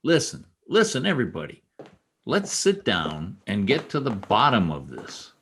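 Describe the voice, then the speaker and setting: A man's voice: fairly low pitch, huge pitch swings, moderate pace, background noise. A man playing an exasperated, wisecracking newspaper reporter in an old-time radio comedy. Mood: firm, impatient. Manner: wisecracking, slangy, indignant.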